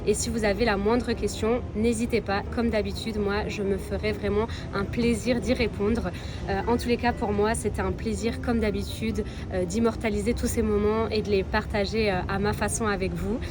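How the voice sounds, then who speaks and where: A woman's voice: mid-range voice, fairly even intonation, normal speed. A woman from Belgium, chatting casually to viewers as a travel vlogger. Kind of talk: casual vlog chat to viewers.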